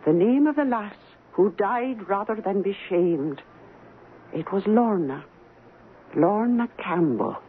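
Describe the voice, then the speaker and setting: An actress playing a stern, superstitious Highland Scottish woman in a radio drama, telling dark things in grave, archaic phrases. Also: animated, slow pace. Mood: stern, guarded. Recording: tinny, noisy 1970s radio recording.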